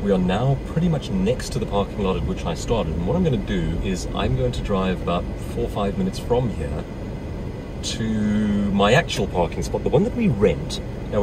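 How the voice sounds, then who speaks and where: A South African man giving casual, rambling commentary while driving, chatty and wry with jokey asides. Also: fairly low pitch. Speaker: vlogger driving and narrating. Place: inside a moving car. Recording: loud car and road noise, distant mic.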